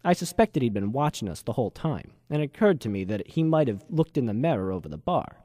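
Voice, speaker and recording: A man's voice: mid-range voice, big pitch swings, fast, dark tone, no room sound, clean studio sound. A man playing a cynical, world-weary ex-journalist who narrates a hardboiled noir radio drama in slangy, wry style. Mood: suspicious, wry.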